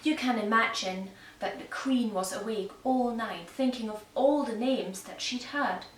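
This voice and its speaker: A woman narrating a fairy tale for small children in a gentle, deliberate way, recorded in an echoey room. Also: a little high, a little hiss or hum. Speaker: puppet-show storyteller. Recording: echoey room, distant microphone.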